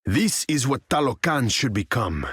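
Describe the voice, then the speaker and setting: An actor playing a proud, brooding sea king in a video game, lofty and deliberate. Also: medium pitch, very animated, a bit bright, husky, no room sound, studio quality, exaggerated voice. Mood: proud, disdainful.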